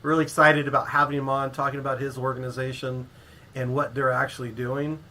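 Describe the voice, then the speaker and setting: A man's voice: medium pitch, little pitch movement, fairly quick, pushed and bright. A man co-hosting a livestream, chatting casually, rambling and joking as he introduces a guest. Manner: rambling, joking, self-correcting. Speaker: co-host, coach. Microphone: headphones.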